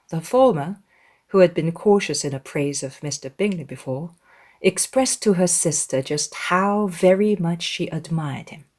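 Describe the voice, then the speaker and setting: Woman reading a literary audiobook, scripted narration, slightly low voice. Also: darkish tone.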